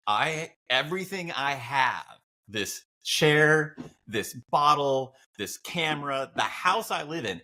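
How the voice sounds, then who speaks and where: A man's voice: on the low side, pushed and bright. A man talking casually as a podcast co-host, reflective and encouraging. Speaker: podcast co-host and video creator. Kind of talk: casual chat.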